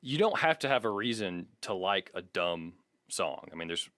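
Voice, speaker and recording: Low-pitched man, casual podcast co-host. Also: sing-song, normal speed, a bit bright, no echo, clean studio sound. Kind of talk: casual conversational podcast talk.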